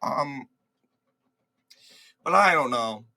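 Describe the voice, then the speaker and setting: A man's voice: medium pitch, expressive, drawn-out words, sharp tone. A man with a cold, congested and slightly cracking, hosting casual political commentary over a screen recording in a mocking, dismissive way, often restarting his words.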